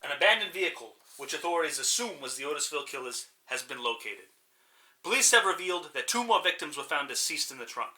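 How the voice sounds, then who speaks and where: A man's voice: a little high, expressive, fast, sharp tone, a little hiss or hum. A man playing a formal, matter-of-fact radio news reporter reading a scripted bulletin in an audio drama.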